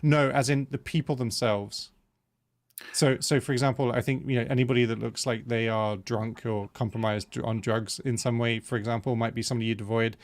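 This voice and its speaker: Halting, gravelly-voiced male podcast host. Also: mid-range voice, flat delivery. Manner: halting, repeats words while thinking aloud; laughs easily, reads out viewer comments. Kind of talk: casual livestream chat.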